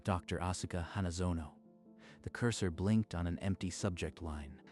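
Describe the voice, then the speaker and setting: A man narrating a scripted story in a measured, even manner. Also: deep voice, soft background music.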